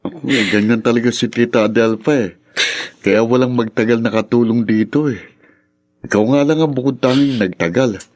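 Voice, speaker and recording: Man playing easygoing young Filipino friend, medium-pitched. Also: a little fast, darkish tone, close to an everyday voice. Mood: concerned, coaxing. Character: concerned, coaxing young male friend, easygoing. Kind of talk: Filipino radio drama acting.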